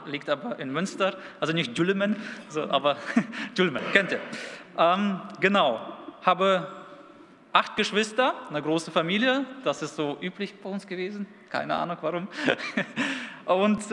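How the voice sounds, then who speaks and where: A man in his thirties from Kazakhstan, a youth pastor giving a sermon, chatty with fillers at first, then reading a passage slowly and with emphasis. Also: high voice, fairly bright tone. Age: 30s. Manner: conversational with many fillers, then reads aloud slowly and deliberately. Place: church hall. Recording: long hall reverb.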